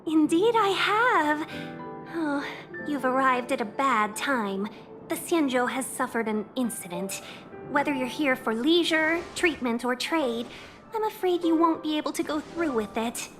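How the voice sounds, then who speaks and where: A woman's voice: high voice, lively intonation, unhurried, over music. A woman voice actor playing a courteous, silver-tongued young merchant guild envoy in a video game, speaking in formal, flowery phrases. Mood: gracious, concerned. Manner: formal, polite, flowery speech.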